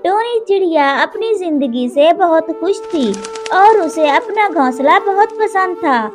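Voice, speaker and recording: A woman narrating a children's moral story in a slow storytelling manner, with music behind. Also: very high voice, expressive. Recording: narration over cartoon music.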